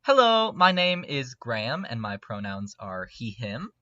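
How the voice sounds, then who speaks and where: A man chatting casually as a podcast lore guest, with an excitable, mock-serious, giggly way of talking. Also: mid-range voice, unhurried, dry recording, lo-fi sound. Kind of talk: casual chat.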